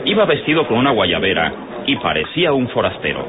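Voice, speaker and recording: A man's voice: lowish voice, sing-song, fairly quick, heavy background noise. A man narrating an old radio serial dramatically. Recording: tinny vintage radio recording, loud music.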